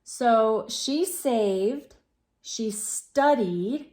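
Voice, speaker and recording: A woman reading a storybook aloud to children, talking to them directly in an expressive, exclaiming manner. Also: on the high side, extremely slow. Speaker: children's read-aloud host.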